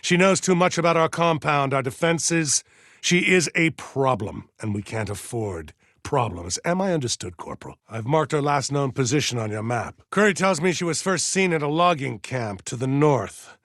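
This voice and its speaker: An actor playing a stern, pious, authoritarian militia colonel in a video game, middle-aged and husky, giving measured orders with righteous solemnity. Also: male voice, medium pitch, animated, fairly bright tone, no echo, clean studio sound. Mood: stern, commanding. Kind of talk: dramatic video game voice acting.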